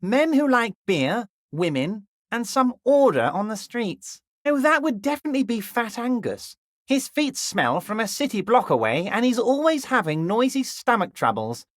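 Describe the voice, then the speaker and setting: A man voicing a scheming, jovial middle-aged male union boss in a video game, smooth and ingratiating with a slyly patronizing edge, drawing out key words slowly. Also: very high-pitched, sing-song, no room sound, clean studio sound. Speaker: dock union leader character.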